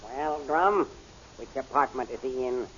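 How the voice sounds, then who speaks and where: A man's voice: medium pitch, unhurried, fairly bright tone, husky. An actor playing a scheming, impatient villain general who commands his men in a 1930s radio serial. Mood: impatient, suspicious. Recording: hissy, dull old-time radio recording.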